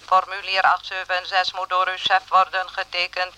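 A man's voice: sits high, normal speed, slight background noise, low-quality recording. A man voicing an emotionless bureaucratic computer in a radio drama, reading official notices mechanically. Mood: impersonal, mechanical. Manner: flat, mechanical, impersonal.

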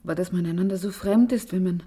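A woman's voice: fairly low pitch, quick pace, dark tone, husky. An actress in a German radio play as a commanding, visionary woman leading an experiment, speaking with urgent intensity and faltering when unsettled. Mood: bewildered, agitated.

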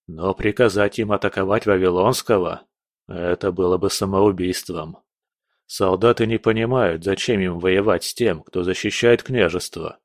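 A man voicing a calm, stern older general who speaks in a grave, measured way. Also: sits low, dry recording, studio quality, pitched below the performer's own voice.

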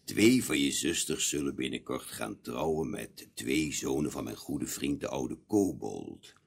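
A man's voice: extremely low pitch, expressive, creaky, slightly rough, full character voice. An actor playing a stately old elf king and father in a fairy-tale radio play, speaking with solemn dignity. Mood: fond, explaining. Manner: solemn, deliberate, dignified delivery.

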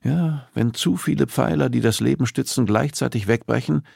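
A man voicing a female police psychologist who is polite, persistent and gently probing. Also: mid-range voice, moderate pace, creaky, slightly rough, dry recording, clean studio sound, voice pushed up. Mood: concerned, probing.

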